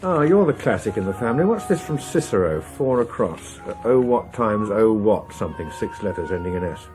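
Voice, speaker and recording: A man's voice: on the low side, animated, brisk, natural voice. A man plays a distracted husband and father in a drama. He is offhand and dryly funny, with varied intonation over faint music. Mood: preoccupied. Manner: offhand, preoccupied, dry. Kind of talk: British political drama acting.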